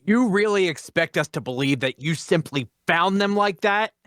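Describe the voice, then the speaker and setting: A man playing a skeptical, mocking lawman adventurer in a dramatic table read, questioning in a sardonic, deliberate way. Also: high voice, lively intonation, fairly bright tone, dry recording, clean studio sound.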